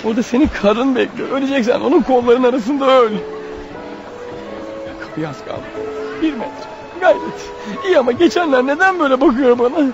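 Radio play lead: wounded runaway, very high strained pitch, breathless urgent muttering, dull-sounding recording. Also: male voice, fairly quick, long pauses. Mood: panicked, straining. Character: desperate wounded fugitive man, exhausted, racing to his wife. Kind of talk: radio theatre acting, inner monologue. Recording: muffled radio drama recording. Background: music underneath.

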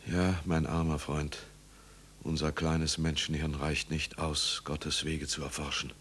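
Older pastor, 1950s radio drama. Very deep bass, slow and measured, compassionate, consoling. Slightly noisy vintage recording. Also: male voice, level tone, a bit bright. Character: grave, compassionate older village pastor, fatherly and patient.

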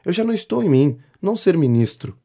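An actor playing a pompous, flattering inventor who petitions a politician, grandiloquent and effusive in a period comedy audio drama. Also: male voice, high voice, fast, creaky, full character voice. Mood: aghast, agitated. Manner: grandiloquent, effusive, theatrically indignant. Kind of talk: audio drama acting of a 19th-century comedy.

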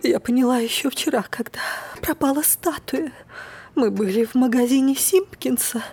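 An actress playing an anxious, long-suffering middle-aged aunt in an audio drama, speaking in a fretful, hesitant manner. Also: female voice, high voice, a little mellow. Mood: haunted, anxious. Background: music.

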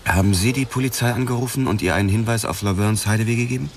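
Fast-talking insistent detective played by a man. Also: fairly low pitch, slight background noise, lightly acted. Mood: probing. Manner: probing, urgent questioning. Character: insistent male police detective questioning a witness. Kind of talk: dramatic radio play dialogue.

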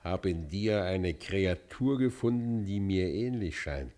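A man's voice: deep voice, animated, slow pace, dark tone. A man playing a tormented, guilt-ridden fugitive outlaw in a German radio play, speaking in an anguished, declamatory literary manner. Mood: confiding, bitter.

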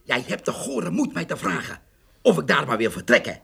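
An actor playing a blunt, stubborn village farmhand in a radio drama, husky and earthy in his way of talking. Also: fairly high pitch, very animated, normal speed, sharp tone, no echo, exaggerated voice. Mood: indignant, angry. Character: blunt, stubborn, nosy Dutch village farmhand, plain-spoken. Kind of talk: naturalistic radio drama acting.

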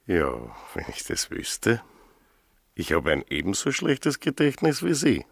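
An actor playing a wry, suspicious village police sergeant in a radio drama, with a dry, probing, gravelly delivery. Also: male voice, sits low, wildly swooping pitch, a little slow, no echo, heavily acted. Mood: sardonic.

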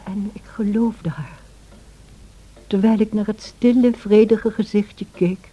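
A woman playing a sorrowful, gentle servant who narrates past events in a radio play in a measured way. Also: medium pitch, fairly even intonation, lightly acted. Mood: solemn, tender. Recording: dull old radio play recording.

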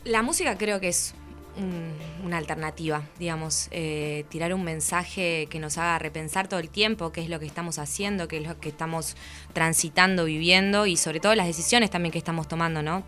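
A woman's voice: deep voice, sharp tone. A woman with an Argentine accent talks in casual, reflective conversation, earnest and colloquial.

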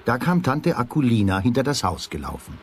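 A man's voice: mid-range voice, big pitch swings, brisk, a little hiss or hum. A man narrating a children's fairy tale as scripted storytelling in a radio play.

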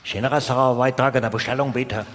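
A man's voice: medium pitch, steady tone, fast talker, a bit bright, pitched below the performer's own voice, natural voice. A male comedian on stage voicing a garbled, hard-to-understand Chinese restaurant worker taking phone orders. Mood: rattling, formulaic. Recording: reverberant live stage hall with noise.

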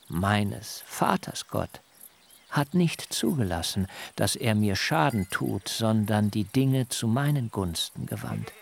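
A man playing a weary, solemn patriarch shepherd in a literary radio drama, speaking in measured, deliberate phrases. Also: medium pitch, vocal fry, exaggerated voice. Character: Jakob, weary, solemn patriarch shepherd pleading his case.

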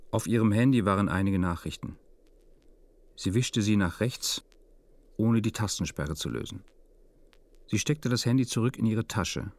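An actor playing a detached male narrator, reading a literary radio drama in a deadpan, meticulous, measured manner. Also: fairly low pitch, no room sound, lightly acted. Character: detached male first-person narrator of a melancholy story.

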